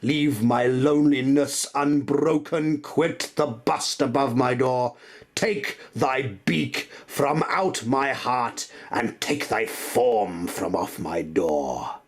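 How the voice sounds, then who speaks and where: A man's voice: medium pitch, slow pace, pitched above the performer's own voice. A man playing a grief-stricken, anguished man who pleads and rages at an ominous bird in a theatrical Gothic poetry recitation. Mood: furious defiance.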